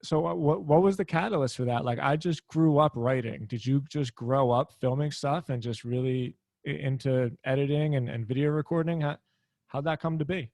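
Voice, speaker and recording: A man's voice: medium pitch, moderate pace, gravelly, no echo. Friendly male host from Philly, casual and laughing easily, remote interview over a video call. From Philadelphia area. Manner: relaxed and friendly, laughs often. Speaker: podcast host.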